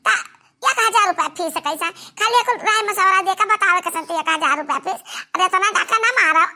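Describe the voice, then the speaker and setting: An actor voicing a melodramatic cartoon village woman with a squeaky, creaky voice and an Awadhi accent, wailing and scolding. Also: a little fast, pushed and bright, exaggerated voice. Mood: indignant. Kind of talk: exaggerated cartoon comedy dialogue.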